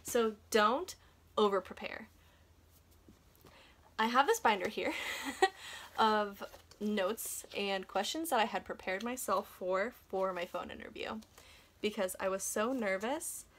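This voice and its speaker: A woman's voice: medium pitch, slow, long pauses, fairly bright tone. A young woman talking casually in a chatty, self-deprecating way, like a vlogger sharing advice. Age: young adult.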